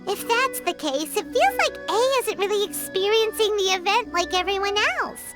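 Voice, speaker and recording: A woman voicing a tiny, childlike, excitable fairy companion in a video game, squeaky and exclamatory. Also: normal speed, over loud music. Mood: animated. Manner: exclamatory, speaks of herself in third person. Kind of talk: video game character acting.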